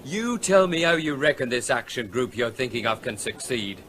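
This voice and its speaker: An actor playing a suspicious working-class seaside trader in a radio drama, blunt, slangy and needling. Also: male voice, mid-range voice, very animated, normal speed, a bit bright, slight background noise.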